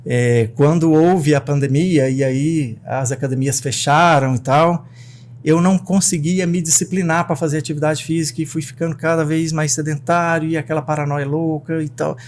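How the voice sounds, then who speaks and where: A man with a Brazilian Portuguese accent hosting a casual podcast as a psychiatrist, animated and talking straight to his listeners. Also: on the high side, normal speed. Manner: animated, talks straight to the audience.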